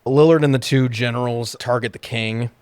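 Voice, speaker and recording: A man's voice: medium pitch, steady tone, normal speed, darkish tone, smooth, no room sound. Casual, irreverent man podcasting, dry close sound. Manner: jokey, irreverent, profane. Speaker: podcast co-host reviewing movies. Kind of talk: casual chat, recapping a film plot.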